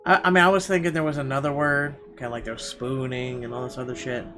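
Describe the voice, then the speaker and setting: A man's voice: mid-range voice, little pitch movement, fast. A man hosting a gaming video, reading visual novel narration dramatically and breaking into irreverent, sweary asides. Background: game music.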